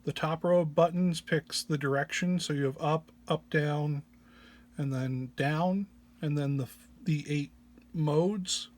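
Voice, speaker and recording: A man who sounds under the weather gives an informal tutorial walk-through in a low-energy, hesitant, halting way. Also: steady tone. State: under the weather, often clearing throat. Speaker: presenter of a music effects patch walk-through.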